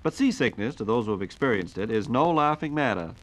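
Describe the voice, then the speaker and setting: A man's voice: mid-range voice, lively intonation, fairly quick. An American man hosting a scripted 1940s radio program, speaking in a formal, polished, inquiring way as announcer and interviewer. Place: radio studio.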